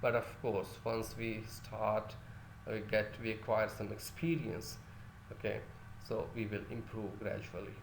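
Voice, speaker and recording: A man's voice: fairly low pitch, steady tone, measured pace, echoey room, a little hiss or hum, rough recording. A male lecturer whose first language is Urdu, teaching a class with many fillers and restarts and putting questions to his listeners.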